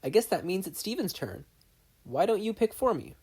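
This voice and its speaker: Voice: medium pitch, big pitch swings, normal speed, darkish tone, slightly rough, pitched above the performer's own voice. A story narrator voicing a bubbly, bossy young teenage girl with an eager, direct manner.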